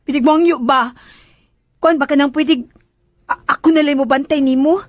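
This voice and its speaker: An actress playing a cheeky, pleading young caretaker in a radio drama, blurting things out in hesitant, chatty back-talk. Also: female voice, sits high, fairly even intonation, moderate pace, no room sound, close to an everyday voice. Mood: apologetic pleading.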